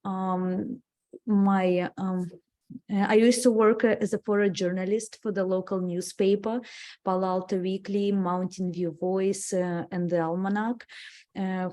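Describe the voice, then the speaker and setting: A woman's voice: mid-range voice, fairly even intonation, mellow. A woman with a Russian accent, a native Russian speaker, speaking hesitantly as a commissioner at a meeting. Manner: hesitant, halting. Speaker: new commissioner. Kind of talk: informal self-introduction at a meeting.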